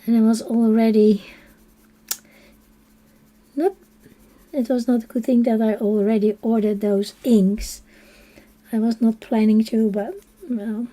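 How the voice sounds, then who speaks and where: Slow, mellow Dutch-accented woman. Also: on the high side, fairly even intonation. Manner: soft-spoken and unhurried, drifting into quiet half-whispers. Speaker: coloring channel host. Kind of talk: casual chat while coloring on a live stream.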